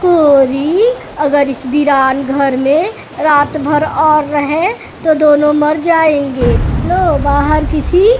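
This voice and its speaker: A lazy crow husband from a cartoon voiced by a woman speaks in a very high voice. He drags his words slowly, dismissive and full of complaint. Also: steady tone, a little mellow, slight background noise, rough recording. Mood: worried.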